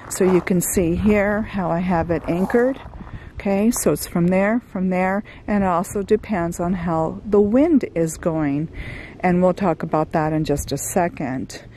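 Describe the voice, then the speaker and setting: Slow-talking chatty woman outdoors. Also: on the low side, warm tone. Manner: chatty, explains step by step with frequent "okay" and "um". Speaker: van life vlogger. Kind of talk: casual how-to vlog talk. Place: outdoors at a desert campsite under a sun shade. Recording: outdoor recording with clear wind and vehicle noise.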